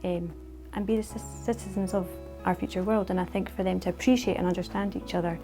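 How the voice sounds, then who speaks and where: A woman's voice: lowish voice, steady tone, quick pace, music in the background. A woman from a school's staff reflecting thoughtfully on her pupils in an interview. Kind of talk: interview answers.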